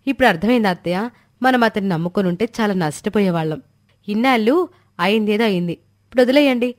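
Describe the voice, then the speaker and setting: A woman's voice: medium pitch, animated, fast, natural voice. A woman playing a young village daughter-in-law in a storybook drama, emphatic, confident and persuasive. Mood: eager, assured. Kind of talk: dramatized Telugu moral story dialogue.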